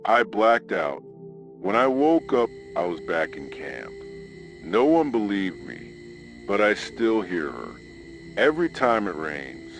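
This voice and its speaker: A man voicing a haunted young army medic who recounts a terror from his journal in a halting, shaken, confessional way, for a radio ghost tale. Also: on the low side, sing-song, faint music behind, exaggerated voice.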